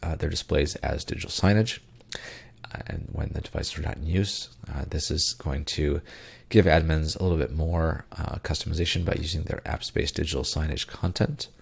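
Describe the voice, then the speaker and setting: A man's voice: extremely low pitch, steady tone, moderate pace, creaky, slightly rough. A man hosting a casual tech news podcast, congested and tired, with plenty of ums and uhs and loose rambling asides. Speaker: podcast co-host.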